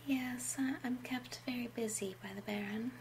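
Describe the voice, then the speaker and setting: A woman playing a genteel, confiding love-potion witch in an ASMR roleplay, speaking gently in a breathy half-whisper. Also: fairly high pitch, fairly even intonation, background noise, close to an everyday voice. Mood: intimate, confiding. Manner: slow, gentle, unhurried, confiding. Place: small home room, indoors.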